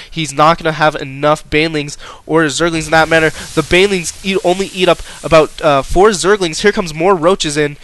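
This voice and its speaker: A young man with a stuffy, congested nose, casually commentating a live video game match and often correcting himself. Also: fairly high pitch. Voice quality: nasal, congested. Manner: casual, self-correcting, rising excitement in big moments. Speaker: esports caster.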